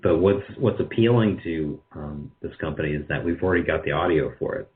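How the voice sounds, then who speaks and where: Male radio guest, middle-aged, deep register, hesitant and self-effacing, conversational, muffled low-fidelity sound. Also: a little fast. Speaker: guest, radio drama producer. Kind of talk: conversational interview answers.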